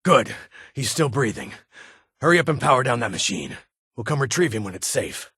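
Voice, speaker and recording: A very husky, stern security chief. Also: male voice, medium pitch, very animated, normal speed, sharp tone, dry recording, studio quality, exaggerated voice. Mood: urgent, commanding. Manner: formal, clipped, authoritative. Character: stern, weary male vault security chief, guarded but honorable. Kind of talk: video game voice acting.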